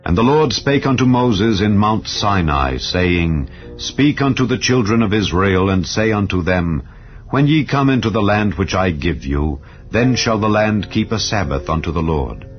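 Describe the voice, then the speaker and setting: A man reading scripture aloud in a solemn, measured way. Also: on the low side, expressive. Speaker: Bible reader. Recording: dull lo-fi audio with faint background music. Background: soft ambient music.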